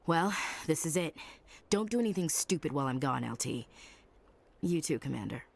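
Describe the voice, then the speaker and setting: A woman's voice: sits low, lively intonation, normal speed, creaky, a little mellow, exaggerated voice. An actress playing a tough, cheeky young female marine in a video game, blunt, wry and informal. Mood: awkward concern.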